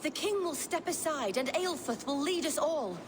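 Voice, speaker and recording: A woman's voice: animated, moderate pace. An actress playing a pious, fanatically loyal woman in a medieval video game, fervent, indignant and formal. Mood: fervent, zealous. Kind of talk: dramatic video game cutscene acting. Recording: rumbling ambient noise behind.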